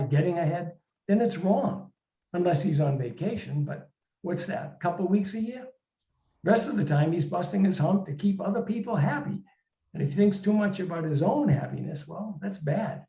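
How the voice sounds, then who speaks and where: A man's voice: sits high, mellow. A man voicing a depressed, defensive married man of forty-two in a weary, complaining, colloquial way, for a short story reading. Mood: weary, pleading. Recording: roomy, slightly dull room recording.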